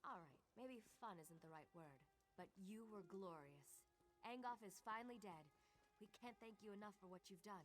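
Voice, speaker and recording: An actress plays a friendly young woman mage from a fantasy game. She sounds upbeat and reassuring, with a playful turn to her phrases. Her voice sits at a middling pitch, with game music underneath. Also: expressive, moderate pace, natural voice. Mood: warm, playful. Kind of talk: video game voice acting.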